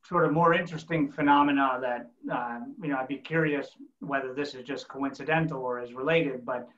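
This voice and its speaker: A middle-aged man moderating a panel over a video call, putting wordy, halting questions in a conversational way. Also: mid-range voice, steady tone, a bit bright. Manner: wordy, halting, frequent "you know". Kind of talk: conversational, long-winded questioning. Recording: roomy, dull video call audio.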